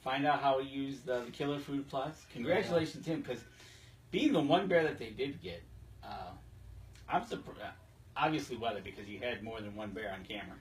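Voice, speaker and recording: A man's voice: mid-range voice, expressive, brisk. A man co-hosting a casual hunting podcast, agreeable and conversational. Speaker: podcast co-host. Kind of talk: casual hunting talk show chat. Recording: distant mic, noticeable background noise.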